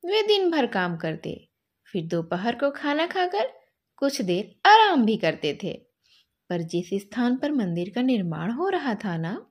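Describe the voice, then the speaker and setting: A woman telling a story to children expressively, in a gentle, teaching way. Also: on the high side.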